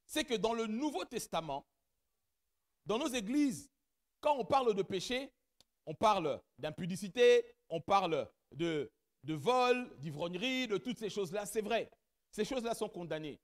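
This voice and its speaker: A middle-aged man preaching a church sermon whose first language is not French, speaking animatedly and rising to loud emphatic peaks as he addresses the congregation. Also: high voice, lively intonation, measured pace. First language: not French; has another mother tongue. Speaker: pastor.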